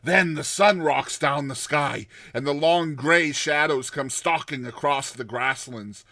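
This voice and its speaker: A man voicing a terrified man telling his nightmare in a dramatized story, with tense pauses, hushed passages and explosive outbursts. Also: on the high side, no echo, clean studio sound. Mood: rising panic. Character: terrified man recounting his nightmare of a lurking giant serpent.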